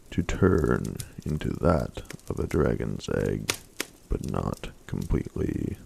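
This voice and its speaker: Deep gravelly soft-spoken man. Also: slight background noise. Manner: slow, soft-spoken, mostly unvoiced whispery delivery. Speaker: ASMR storyteller. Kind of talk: soft-spoken ASMR story reading.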